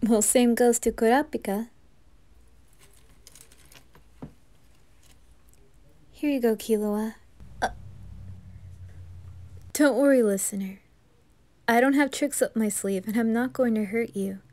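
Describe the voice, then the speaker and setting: A woman voicing a kind-hearted, fearless young boy in an anime fan roleplay, speaking softly and earnestly. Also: fairly high pitch, measured pace, long pauses, close to an everyday voice. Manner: soft, reassuring, earnest.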